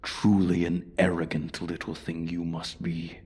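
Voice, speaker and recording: A man voicing an ancient, arrogant dragon in a fantasy ASMR roleplay, haughty and deliberate, with a breathy voice that often drops to a whisper. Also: sits low, monotone, a little mellow. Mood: haughty offence. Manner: haughty, deliberate, coldly threatening. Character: ancient, menacing, arrogant male dragon guarding his hoard. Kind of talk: slow, theatrical fantasy ASMR roleplay monologue.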